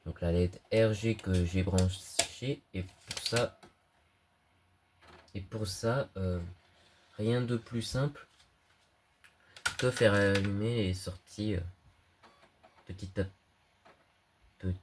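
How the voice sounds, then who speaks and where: A man's voice: on the low side, fairly even intonation, slow pace, pauses often, noisy background. A young man with a cold talks through an electronics project casually, hesitating often and using slang. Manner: hesitant, many fillers, slangy. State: stuffy with a cold. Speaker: hobbyist electronics youtuber. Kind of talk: casual screen-recorded tutorial.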